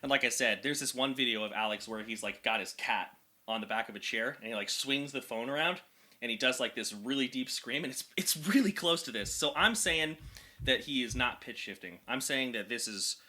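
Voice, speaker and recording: This young man's voice is very bright and sits higher than most men's. He talks in an energetic, chatty way as a vocal coach, going into technical detail on how the voice works. His pitch is moderate for a man. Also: a little fast. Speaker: vocal coach reacting to music. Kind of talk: casual reaction and explanation.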